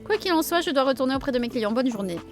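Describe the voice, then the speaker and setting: A woman's voice: sits high, lively intonation, fast, faint music behind. A woman voicing a haughty middle-aged café owner who speaks in a prim, condescending, formal way.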